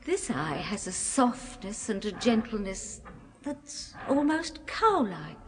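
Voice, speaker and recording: An actress playing a doting, quietly possessive British wife with a genteel, cooing manner in a 1970s radio horror drama. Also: female voice, mid-range voice, very animated, a crowd in the background. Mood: musing, wondering. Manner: genteel, deliberate, cooing endearments. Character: softly doting, quietly possessive wife of middle age or older. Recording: reverberant, noisy old radio recording.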